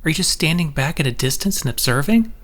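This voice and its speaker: An actor playing an intense, possessive, manipulative telepath lover in an audio drama, pressing in a coaxing, insistent way. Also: male voice, a little high, animated, quick pace, a bit bright. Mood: wounded, insistent.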